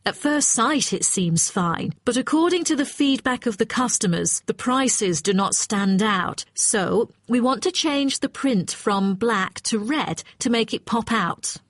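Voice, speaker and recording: Businesslike female client, acted, scripted exam dialogue, precise delivery, fairly high pitch, very dry sound. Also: lively intonation, unhurried, few pauses, dry recording. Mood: businesslike, firm. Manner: formal, precise, matter-of-fact. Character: brisk, exacting female hotel client requesting brochure revisions.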